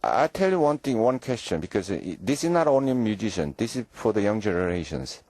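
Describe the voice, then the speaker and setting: Middle-aged Korean-accented male conductor; low, warm, dry; halting English. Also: big pitch swings, no room sound. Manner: halting English with many fillers. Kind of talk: radio interview guest.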